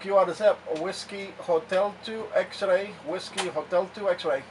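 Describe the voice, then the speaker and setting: Guy doing ham radio call signs, high voice, clipped and repetitive, far from the mic. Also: fairly even intonation, a little slow. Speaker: amateur radio operator. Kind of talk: amateur radio satellite contact calls. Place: indoor radio room. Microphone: camera mic away from the speaker. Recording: distant mic, loud noise, clicks.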